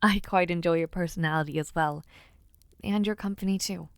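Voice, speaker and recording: A woman playing an earnest, affectionate young noblewoman and would-be knight in an intimate audio roleplay, speaking with gently formal, sincere phrasing. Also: lowish voice, fairly even intonation, a little fast, smooth, no echo, close to an everyday voice. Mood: playful, self-deprecating. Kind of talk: audio roleplay, intimate one-sided dialogue to listener.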